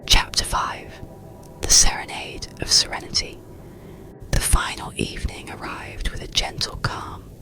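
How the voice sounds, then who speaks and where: A narrator telling a bedtime story in a slow, soothing, breathy ASMR whisper. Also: sharp tone, rough recording. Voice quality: breathy, unvoiced whisper with creak.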